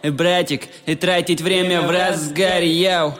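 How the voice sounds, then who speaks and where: A man's voice: high-pitched, little pitch movement, normal speed, a bit bright, faint music behind. A man in his twenties rapping a boastful verse, peppered with "yo" and "bro" ad-libs. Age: 20s. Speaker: rapper. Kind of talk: rap song.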